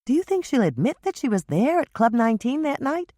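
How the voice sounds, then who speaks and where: An eager, chatty younger sister , put on by a woman reading a storybook aloud, with a voice pitched noticeably above the narrator's own. She jumps between tones in lively, excited questions. The read is dry and close to the mic. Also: a little fast, no room sound.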